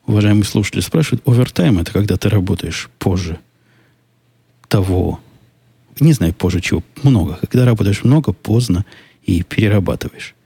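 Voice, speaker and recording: A man with a deep voice hosting a solo podcast, answering listener questions in a casual, musing way, often skeptical and restarting his sentences. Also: creaky, warm tone, husky, no room sound.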